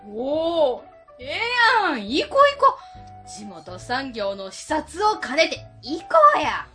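A woman's voice: high-pitched, big pitch swings, slow pace, bright, forceful tone, faint music behind, heavily acted. A woman playing a chummy, pushy middle-aged cleaning lady with a Kansai accent in a radio drama. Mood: breezy, eager. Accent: Kansai Japanese. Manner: breezy, familiar, eager.

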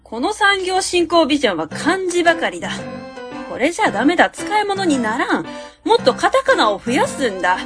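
Woman acting pompous high-voiced female consultant. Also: big pitch swings, a little fast, over music. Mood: pompous, instructing. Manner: pompous, commanding, declarative. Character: pompous, self-assured female consultant barking absurd jargon advice. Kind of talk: web radio drama acting.

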